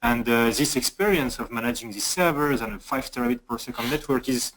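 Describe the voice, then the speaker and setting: A man's voice: mid-range voice, fairly bright tone, slight background noise, rough recording. Man presenting, full of hesitations. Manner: halting, many hesitations. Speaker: cybersecurity manager presenting. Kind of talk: webinar presentation.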